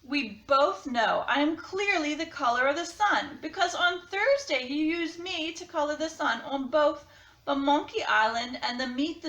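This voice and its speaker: A woman's voice: high-pitched, sharp tone, reverberant, exaggerated voice. A woman voicing a snide, cocky orange crayon in a storybook read-aloud. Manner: sneering, boastful.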